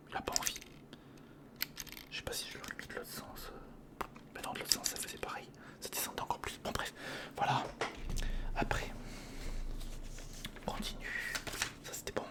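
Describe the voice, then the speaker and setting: A man whispering breathily in a casual ASMR commentary, murmuring step by step to the viewer as if to a friend. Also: a little high. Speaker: ASMR video creator, host. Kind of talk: ASMR, casual running commentary while building a model. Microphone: close recorder microphone.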